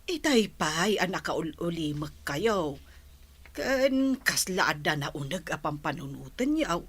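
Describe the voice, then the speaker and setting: A woman's voice: on the low side, big pitch swings, takes it slowly, breathy edge, full character voice. An actress playing a concerned, respectful older woman coworker in a radio drama, with a Filipino accent.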